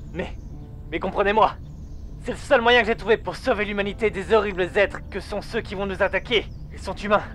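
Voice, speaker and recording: A man's voice: fairly high pitch, natural voice. A man playing a remorseful military leader who speaks formally and solemnly, as in a recorded confession for an audio drama. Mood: desperate pleading. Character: remorseful male lieutenant, doomed last rebel leader. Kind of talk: scripted amateur audio drama monologue.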